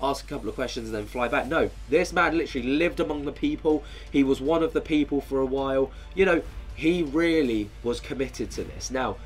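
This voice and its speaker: A man's voice: mid-range voice, a little fast. Stuffy-nosed man chatting casually. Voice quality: nasal, stuffy. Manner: rambling, many fillers. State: congested with a head cold. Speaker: channel host. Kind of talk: casual commentary on a video.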